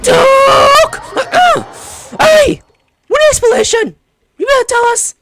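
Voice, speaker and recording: A woman giving playful, excitable, teasing reaction commentary over gameplay. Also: extremely high pitch, slow. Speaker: gaming streamer. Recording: game music behind.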